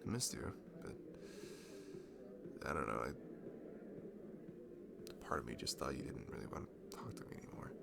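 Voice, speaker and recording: A man's voice: rumbling low, big pitch swings, moderate pace, pauses often, exaggerated voice. A man playing a shy, nerdy young man in a romantic ASMR roleplay, rambling and stammering toward a longtime friend. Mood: tender, nervous. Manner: rambling, hesitant, stammering, nervous laughs. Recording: clearly audible background noise.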